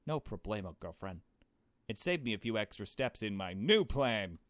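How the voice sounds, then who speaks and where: A cocky young villainess played by a man from a visual novel. She taunts in a breezy, mocking way and stretches words out with big swings of pitch. The recording is dry. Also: big pitch swings, moderate pace, a bit bright, no room sound. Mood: breezy, smug.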